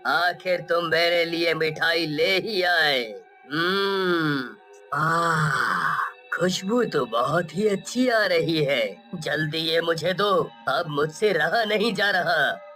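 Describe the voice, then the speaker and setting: A greedy male graveyard ghost from a horror-comedy cartoon, played by a woman. The voice is sharp and forceful, stretching words and hums slowly over faint music. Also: fairly low pitch, exaggerated voice. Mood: gloating, eager. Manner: drawn-out hums and gloating laughs. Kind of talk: animated horror-comedy storytelling.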